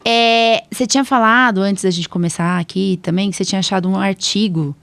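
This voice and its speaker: A woman's voice: mid-range voice, steady tone, a little fast, gravelly, fairly bright tone, no room sound. A Brazilian woman hosting a podcast interview in a casual, informal way, with short interjected responses. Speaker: podcast host, allergist.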